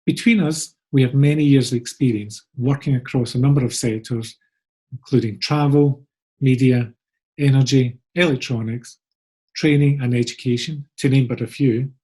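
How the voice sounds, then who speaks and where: A man's voice: mid-range voice, fairly even intonation, moderate pace, darkish tone, slightly rough. A middle-aged Scottish man giving a friendly, upbeat pre-recorded conference talk about his agency. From Scotland. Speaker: agency co-founder presenting.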